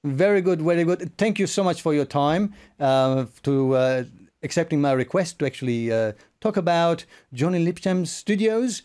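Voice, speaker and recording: A man's voice: on the high side, lively intonation, normal speed, darkish tone. A man hosting a casual online interview, speaking in a polite, somewhat hesitant way. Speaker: interviewer, host.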